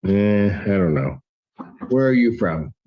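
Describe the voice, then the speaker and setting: Male judge, teasing and wry, lowish voice, dull lo-fi courtroom sound; casting note. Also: sing-song, slow. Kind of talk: joking courtroom banter.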